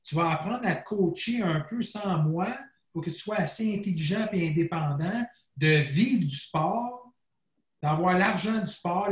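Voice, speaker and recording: A man's voice: sits high, echoey room. A man, a coach talking in a casual podcast conversation, rambling and reflective and slipping in English phrases. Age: 40s. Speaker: guest, bodybuilding coach.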